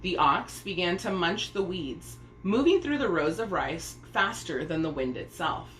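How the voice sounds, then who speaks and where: A woman's voice: fairly low pitch, very animated, measured pace, a bit bright, soft background music. A woman reading a children's story aloud, narrating expressively in a room with echo and faint noise. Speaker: storybook reader.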